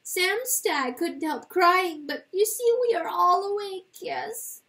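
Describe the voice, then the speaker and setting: Woman as a high-voiced, earnest little brother mouse. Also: animated, slow pace, pushed and bright, recorded from a distance, heavily acted. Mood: earnest, sad. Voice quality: small, high voice. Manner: earnest, polite, explaining. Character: small male mouse, earnest protective older brother.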